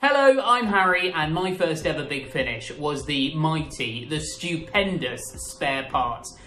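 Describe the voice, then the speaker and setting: A man's voice: on the high side, animated, measured pace, echoey room. A man speaking enthusiastically in a monologue, with emphatic stress on his adjectives. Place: indoor room at home.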